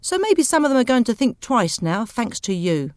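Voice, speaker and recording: A woman's voice: fairly high pitch, very animated, moderate pace, a little mellow, dry recording, studio quality, pitched above the performer's own voice. A woman voicing a nosy, easily amazed male factory friend in a story, speaking in an emphatic, exclaiming way. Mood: admiring.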